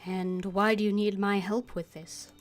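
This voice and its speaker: A woman streams a game in an unhurried, casual way. She often mutters to herself as she reads and thinks. Soft game music plays behind her. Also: medium pitch, little pitch movement. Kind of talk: casual game livestream, voicing her character's lines.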